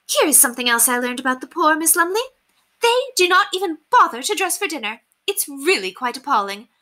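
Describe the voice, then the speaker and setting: Storybook lady of the manor: spoiled, pompous, affected, high-pitched, theatrically swooping. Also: very animated, fairly quick, pushed and bright, heavily acted. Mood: impatient, haughty. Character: haughty, spoiled, dramatic young lady of the manor.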